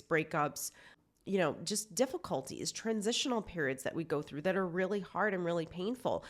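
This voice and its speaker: A woman in her forties, a life coach hosting her own show, talking in a chatty, earnest way while still congested from a cold. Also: on the low side. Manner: chatty, earnest, lots of "like" fillers. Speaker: life coach and podcast host. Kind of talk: casual self-help talk to camera.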